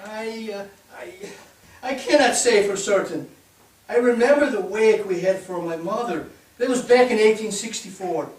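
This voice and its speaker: Defensive, reminiscing drinker, acted by a man, high voice, stage reading picked up from a distance. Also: unhurried. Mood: halting. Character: hesitant, defensive drinking man looking back on his past. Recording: echoey stage, distant mic.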